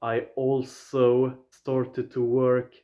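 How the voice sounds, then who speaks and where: A man of twenty-five with a Swedish accent, talking straight to his viewers in a plain, deliberate way, often opening with "yeah.". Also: medium pitch, completely flat delivery, dark tone. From southern Sweden. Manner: deliberate, plain phrasing, starts points with "yeah". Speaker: vlogger. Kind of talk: casual personal recap to viewers.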